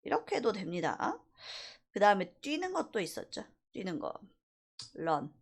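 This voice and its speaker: A woman instructor giving a casual, explanatory screen-recorded software tutorial, slipping between polite and plain speech. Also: mid-range voice, very animated, moderate pace, creaky, breathy edge. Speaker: animation instructor.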